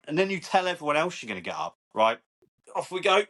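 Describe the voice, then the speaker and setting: A man's voice: a little high, fast talker. A man, joking around as a podcast co-host in casual chat. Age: 40s. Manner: joking, animated, swearing.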